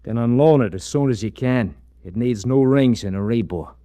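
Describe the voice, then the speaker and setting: A man's voice: medium pitch, moderate pace, dark tone, no room sound, lightly acted. An American man playing a blunt, streetwise, wary sidekick in a 1940s radio drama, with slangy, plain-spoken delivery. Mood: grumbling, puzzled. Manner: slangy, plain-spoken tough-guy talk. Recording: old radio transcription with faint noise.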